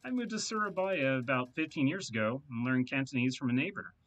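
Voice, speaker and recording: A man's voice: mid-range voice, animated, fairly quick, fairly bright tone, muffled recording, natural voice. A man voicing a rambling, talkative male hacker who boasts and amuses himself in a video game read-aloud. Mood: boastful.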